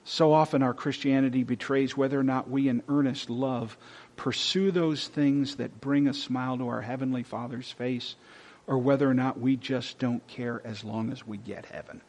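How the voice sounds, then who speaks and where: A male pastor preaching a sermon, reading scripture loudly and dropping to soft, hushed whispers. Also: mid-range voice, fairly even intonation, normal speed. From Texas.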